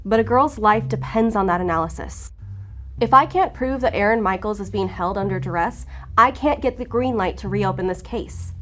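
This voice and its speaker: A woman's voice: medium pitch, a bit bright, natural voice. Woman acting a guarded, blunt investigator. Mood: curt, stern. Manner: probing, measured, dry. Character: guarded, blunt, determined female federal investigator. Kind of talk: audio drama acting.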